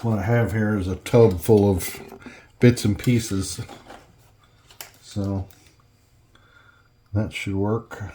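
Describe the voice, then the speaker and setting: A man's voice: on the low side, steady tone, takes it slowly, pauses often, warm tone. A man giving casual, hands-on workbench narration in a folksy way, half talking to himself as he works indoors at home. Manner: folksy, muttering to himself while working. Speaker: hobbyist host demonstrating an electronics conversion. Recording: clearly noisy home recording, clicks.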